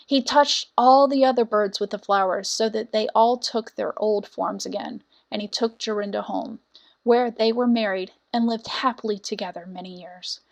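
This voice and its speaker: A woman reading a scripted fairy tale as a storyteller, with dramatic, deliberate phrasing. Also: mid-range voice, steady tone, vocal fry. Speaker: storyteller, audiobook narrator.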